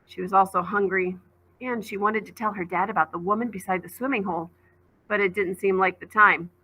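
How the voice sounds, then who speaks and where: Woman, bright forceful voice, expressive children's storybook narration, teacher reading aloud. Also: medium pitch, a little fast, breathy edge, poor audio.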